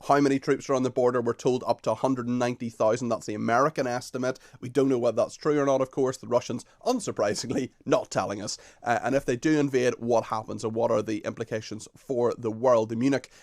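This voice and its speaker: A male radio host in a studio, asking questions in a brisk, conversational way. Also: medium pitch, fairly even intonation, a little mellow, no room sound. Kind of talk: radio interview.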